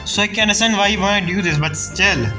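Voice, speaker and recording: A man's voice: high-pitched, fast talker, rough recording. A man chatting casually as he reacts to a video game, in loose, hesitant phrases. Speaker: game streamer. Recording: game music behind.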